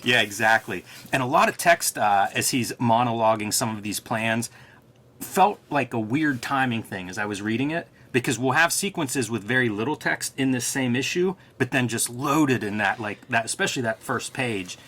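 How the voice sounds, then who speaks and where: A man's voice: medium pitch, expressive, normal speed, bright, forceful tone. A man co-hosting a casual comics discussion, talking in a conversational, analytical way. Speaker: co-host and comics artist.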